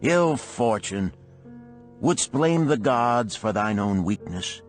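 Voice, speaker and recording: A man's voice: mid-range voice, lively intonation, slow pace, faint music behind, pitched above the performer's own voice. A man voicing a boisterous, blunt middle-aged camel trader in an audiobook parable, declaiming in archaic phrases. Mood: demanding, scolding.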